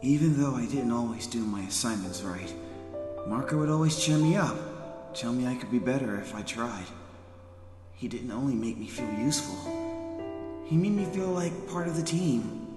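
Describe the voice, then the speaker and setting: Sincere young cadet, played by a man, humble, middle pitch, echoey hall, anime parody. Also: animated, moderate pace, music in the background, natural voice. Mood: grieving.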